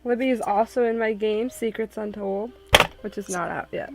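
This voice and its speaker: A woman's voice: mid-range voice, steady tone, moderate pace, creaky, very noisy. A woman hosting a casual chat in an everyday conversational way. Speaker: host, visual novel developer.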